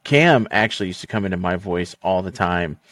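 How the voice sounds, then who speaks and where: A man's voice: low-pitched, lively intonation, fairly quick, a bit bright, no echo, studio quality. A tipsy man hosting a podcast, joking and laughing often in casual chat. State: tipsy from drinking. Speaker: podcast host.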